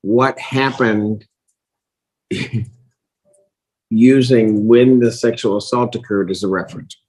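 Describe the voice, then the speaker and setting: Male judge, husky voice at medium pitch, authoritative and deliberate, instructing from the bench. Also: fairly even intonation, a little mellow, low-quality recording.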